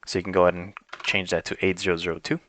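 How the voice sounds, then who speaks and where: Male tutorial narrator, deep voice, informal and explanatory, talking through software setup step by step in a dry, close recording. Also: flat delivery, racing, no room sound. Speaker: tech tutorial instructor. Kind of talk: step-by-step software walkthrough, unscripted.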